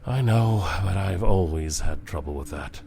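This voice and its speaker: A low, expressive voice of a starship captain, played by a man, commanding yet weary and humane. It is science fiction audio drama, with clear background noise and ship ambience. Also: normal speed. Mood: weary, regretful.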